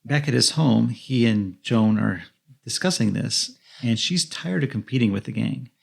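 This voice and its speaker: A man's voice: mid-range voice, lively intonation, moderate pace, gravelly. A man co-hosting a podcast, narrating a recap of a film's plot. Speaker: podcast co-host.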